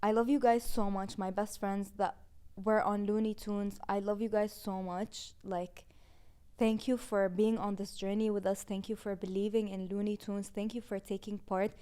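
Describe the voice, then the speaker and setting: Young woman, twenties, podcast host, casual chatty talk, smooth clear voice. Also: medium pitch, little pitch movement, moderate pace, warm tone. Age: 20s. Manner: casual, chatty with many "like"s and laughs. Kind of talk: heartfelt season-finale reflection.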